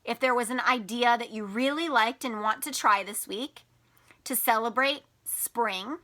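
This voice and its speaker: A woman's voice: a little high, measured pace, bright, forceful tone. A woman teacher reading aloud to young children, explaining things simply and stressing key words expressively. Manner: explains simply to children, asking them questions. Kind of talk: picture-book read-aloud for young children.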